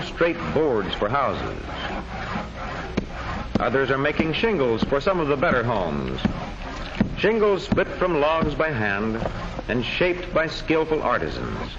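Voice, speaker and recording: Highly animated male film narrator. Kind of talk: scripted educational film narration. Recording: dull old film soundtrack with faint noise.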